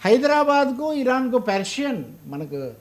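High-pitched, emphatic Telugu man. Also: big pitch swings, normal speed. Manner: emphatic, argumentative, rhetorical questioning. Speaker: political analyst and commentator. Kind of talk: political commentary in an interview.

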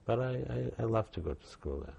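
An older man, giving halting oral history testimony with many restarts. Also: medium pitch, animated, creaky, mellow, slightly rough. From Poland. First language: Yiddish. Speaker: interviewee.

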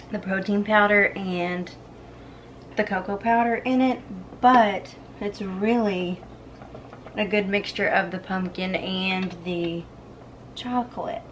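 A woman's voice: medium pitch, slight background noise. A young woman in her twenties chatting casually while cooking in her home kitchen, filmed on a camera set on the counter. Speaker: cooking vlogger.

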